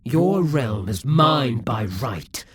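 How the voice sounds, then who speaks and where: A haughty demon king of the audio drama, ages old and bent on revenge, as a man acts him out. His voice is deeply husky and breathy, drawn out slowly, with a lively rise and fall that sinks into hissing whispers. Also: no echo, full character voice. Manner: slow, theatrical, hissing whispers at times. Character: proud vengeful male demon king, centuries old. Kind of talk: audio roleplay acting.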